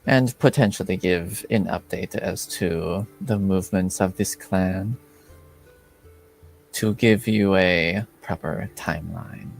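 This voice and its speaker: A man as game master, narrating a tabletop game in a measured way. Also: low voice, gravelly, faint music behind.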